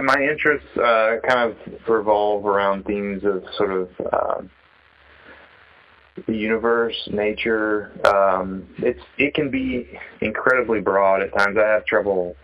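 A man with a fairly low voice speaks casually over a muffled phone connection. He is an artist being interviewed, feeling his way through his thoughts with halting, reflective phrasing. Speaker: interviewee, sculptor. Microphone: telephone. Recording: dull, band-limited phone call.

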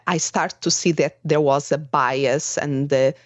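A woman's voice: low-pitched, fairly even intonation, measured pace, dry recording, dull audio. A woman from Portugal with a Portuguese accent, hosting a podcast conversation, speaking hesitantly with many fillers and restarts. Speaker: podcast host. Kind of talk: casual conversation.

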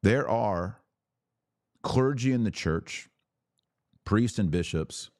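A man's voice: on the low side, animated, takes it slowly, a little mellow, no room sound, clean studio sound. A man hosting a podcast, delivering a fervent religious monologue in an emphatic, exhorting manner with rhetorical questions and repetition. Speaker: podcast host.

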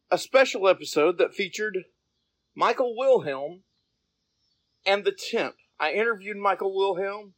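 A man's voice: high voice, dry recording. A man hosting a podcast, delivering introductions and announcements in a scripted style. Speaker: podcast host. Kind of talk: scripted podcast narration and interviewing.

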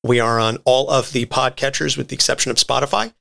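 A man's voice: mid-range voice, fairly even intonation, fast talker, gravelly, bright, forceful tone. A man speaking casually as a podcast guest, plugging a show.

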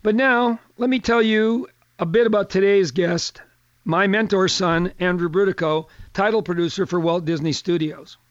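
An older man with a fairly high voice hosts a radio talk show, folksy and chatty and a touch hoarse. The sound is dry and close, with a slightly dull, muffled tone. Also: moderate pace, dry recording.

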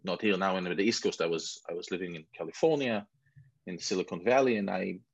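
A man's voice: low voice, quick pace, gravelly, breathy edge, no echo. A man in his forties from Israel with an Israeli accent, the guest entrepreneur, talking casually with self-mocking asides and many restarts. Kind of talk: casual interview chat. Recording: dull muffled lo-fi sound.

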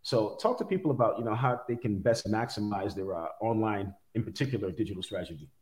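A man interviewing casually, with an informal, halting way of talking and often repeated words. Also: fairly low pitch, lively intonation, a little mellow. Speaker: interviewer, athletic trainer. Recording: echoey room.

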